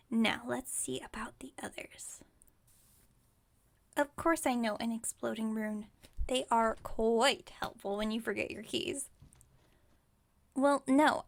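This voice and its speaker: A woman's voice: a little high, vocal fry. A woman playing a scatterbrained, overconfident witch shopkeeper in an ASMR roleplay, chatting breezily and musing aloud with great self-assurance. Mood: confident, excited. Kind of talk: ASMR roleplay audio drama.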